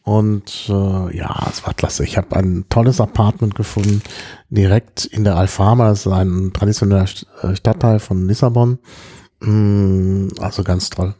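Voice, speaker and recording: A middle-aged man chatting casually about his travels, hesitant and often clearing his throat. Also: fairly low pitch, fairly even intonation, normal speed, warm tone. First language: German. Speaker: podcast guest telling about his trip. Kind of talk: casual chat, travel anecdotes.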